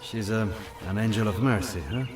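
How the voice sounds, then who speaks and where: An actor playing an amiable, awkward partisan in a video game, hesitant and earnest. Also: male voice, lowish voice, lightly acted. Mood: awkward, admiring. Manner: halting, trailing into questions. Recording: distant from mic, faint music.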